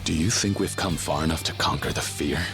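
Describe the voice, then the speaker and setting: A deep-voiced stoic young yakuza. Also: male voice, brisk, creaky. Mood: dry. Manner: dry, clipped, understated delivery. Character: stoic, terse young male yakuza brawler. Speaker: game character. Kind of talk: English video game dub. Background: game soundtrack music.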